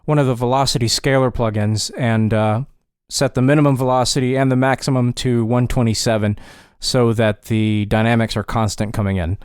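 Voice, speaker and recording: Clean, smooth-voiced man giving a tutorial. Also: mid-range voice, steady tone, moderate pace, darkish tone, dry recording, clean studio sound. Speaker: tutorial presenter. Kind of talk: casual step-by-step software tutorial narration.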